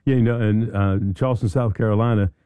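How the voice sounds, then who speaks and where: A middle-aged male radio host chats with his guests in a conversational way. His voice is very dark and mellow, recorded close and dry. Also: on the low side, steady tone, fast talker, smooth, dry recording. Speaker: radio talk show host.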